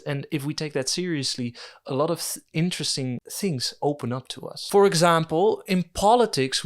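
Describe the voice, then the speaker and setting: A young man with a Dutch accent works through ideas in a casual video essay, at an ordinary middle pitch. He muses aloud, trips over a word now and then, and makes wry asides about himself. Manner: thoughtful, musing, self-deprecating with fillers.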